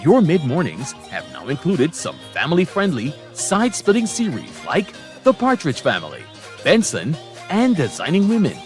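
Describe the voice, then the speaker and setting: A man voicing upbeat television promos with a lively, punchy announcer delivery. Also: fairly high pitch, moderate pace, soft background music.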